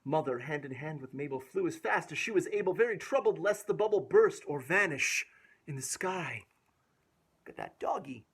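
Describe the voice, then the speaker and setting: A man reading a rhyming picture book aloud to young children in a playful, expressive way with dramatic emphasis. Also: on the high side, moderate pace, vocal fry, slightly rough. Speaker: children's storyteller.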